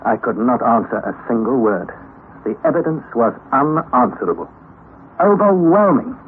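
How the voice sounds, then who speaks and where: A man's voice: medium pitch, very animated, moderate pace, lightly acted. An actor playing an anxious young student who recounts his expedition in earnest, formal, dramatic narration for a radio play. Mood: weary, awed. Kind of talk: dramatized radio narration of a classic adventure novel. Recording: noisy, narrow-band old radio recording.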